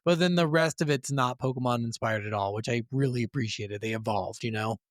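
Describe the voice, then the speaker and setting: A man's voice: medium pitch, brisk, dry recording, studio quality. A man co-hosting a podcast, talking casually and enthusiastically, with slangy speech and frequent swearing. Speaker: podcast co-host. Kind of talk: casual gaming podcast chat.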